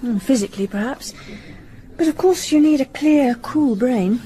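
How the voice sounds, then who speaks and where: An actress playing a sensible, friendly young English woman in a radio drama, polite and gently teasing. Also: high voice, normal speed, lightly acted, low-quality recording. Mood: bright interest. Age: young adult.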